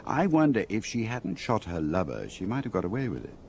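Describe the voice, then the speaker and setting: Very deep, gravelly urbane gentleman. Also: wildly swooping pitch, fairly quick, slight background noise. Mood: musing, wry. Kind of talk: radio drama acting.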